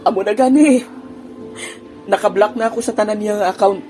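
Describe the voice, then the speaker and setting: A woman's voice: medium pitch, normal speed, warm tone, faint music behind. A woman acting a lovesick part in an amateur audio drama, playful and teasing, then heartbroken and pleading.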